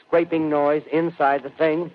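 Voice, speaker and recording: An actor playing a shaken male radio news reporter in a 1930s radio drama, describing events live in halting, formal, urgent phrases. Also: on the high side, flat delivery, close to an everyday voice. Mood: tense, curious. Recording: muffled, noisy 1938 radio broadcast.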